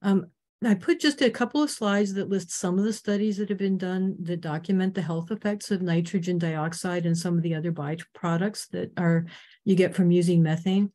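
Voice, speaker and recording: An older woman from Canada giving an earnest, informative slide talk on a video call, clearing her throat now and then. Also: fairly low pitch, steady tone, normal speed, warm tone. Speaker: presenter.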